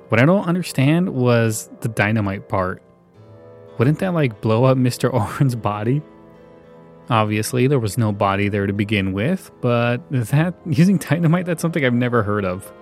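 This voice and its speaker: A man's voice: mid-range voice, normal speed. A man hosting a podcast solo with casual, conversational commentary. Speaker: podcast host. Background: faint music.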